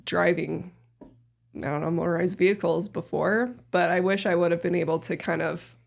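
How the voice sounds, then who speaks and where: A woman in her thirties with a deep voice, chatting casually and rambling a little as a podcast guest. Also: normal speed, creaky. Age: 30s. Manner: relaxed, rambling, many fillers. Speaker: podcast guest, host's sister. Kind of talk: casual family chat.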